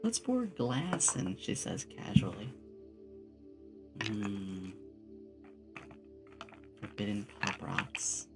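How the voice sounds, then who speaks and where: A woman's voice: a bass voice, very animated, extremely slow, vocal fry, long pauses, music in the background. A woman chatting casually on an ASMR livestream, rambling with playful asides, close on a binaural microphone. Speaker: streamer and game master.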